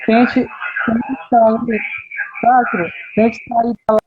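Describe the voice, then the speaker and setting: A man's voice: very high-pitched, flat delivery, slow pace, over music. A man hosting an online live, casually coordinating the guests. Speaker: live stream host. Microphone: video call microphone. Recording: muffled live stream audio.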